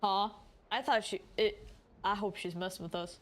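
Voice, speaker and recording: Woman streamer with medium pitch, casual commentary. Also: steady tone, normal speed. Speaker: game streamer. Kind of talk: casual playthrough commentary, reading game text aloud. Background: game music.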